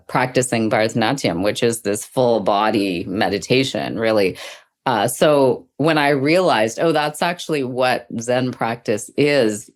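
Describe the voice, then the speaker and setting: A middle-aged woman speaking as an interview guest, thoughtful and reflective, often pausing as she explains her practice. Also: extremely low pitch. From Sri Lanka. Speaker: guest, dance teacher and Zen practitioner.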